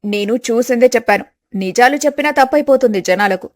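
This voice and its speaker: A woman's voice: on the high side, brisk, dry recording, studio quality, natural voice. A woman voicing a haughty, suspicious elder daughter-in-law with an accusing, sarcastic manner in a village family story. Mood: indignant.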